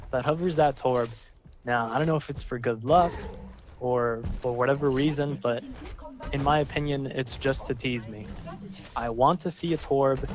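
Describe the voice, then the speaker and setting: A man casting a live online match, calling the action and filling pauses with rambling, self-deprecating asides. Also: medium pitch. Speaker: esports caster. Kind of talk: live game commentary.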